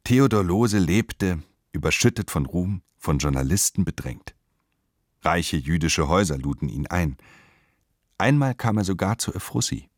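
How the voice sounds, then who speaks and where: A man's voice: low-pitched, lively intonation, measured pace, no room sound, clean studio sound. A man narrating a radio play in a scripted literary style, with clipped, declarative delivery.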